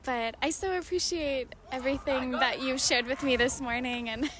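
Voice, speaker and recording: A woman's voice: high voice, steady tone, normal speed, noisy background. A woman podcast host interviewing outdoors, speaking in an appreciative, gushing way. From Hawaii. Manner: gushing, appreciative, laughs often. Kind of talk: warm interview chat. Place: outdoors by a city river.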